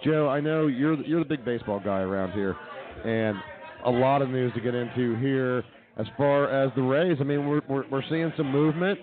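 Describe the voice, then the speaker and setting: An American man hosting a casual live sports talk show, asking questions and chatting. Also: mid-range voice. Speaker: radio show host. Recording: muffled, band-limited live broadcast.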